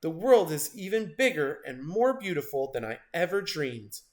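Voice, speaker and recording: Man voicing a brave little avocado, fairly high. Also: sing-song, normal speed. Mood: wonder. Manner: earnest, determined. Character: small, brave, wonder-filled young male avocado adventurer.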